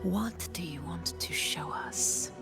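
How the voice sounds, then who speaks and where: An actress playing a stern, proud warrior leader in a video game, formal, measured and resolute. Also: female voice, lowish voice, loud music behind the voice. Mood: solemn, trusting. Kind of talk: dramatic video game acting.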